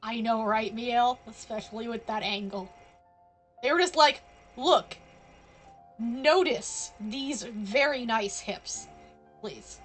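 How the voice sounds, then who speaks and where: Snarky woman streamer, slow and highish. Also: poor audio. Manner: snarky, talks back to characters. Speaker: game streamer. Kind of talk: casual live game commentary. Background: soft game music.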